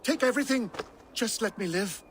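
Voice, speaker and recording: A sly, cowardly merchant with a very high, bright voice, full of wheedling patter and begging. It is a video game cutscene with faint background noise. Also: male voice, normal speed. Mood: pleading. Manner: wheedling, pleading salesman patter. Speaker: merchant character.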